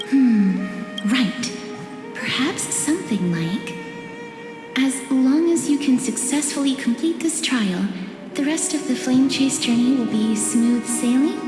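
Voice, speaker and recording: A woman playing a shy, gentle young game character, speaking hesitantly and apologetically. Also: sits high, expressive, slow. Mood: awkward, apologetic. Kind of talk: scripted game dialogue. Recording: echoey hall, music behind.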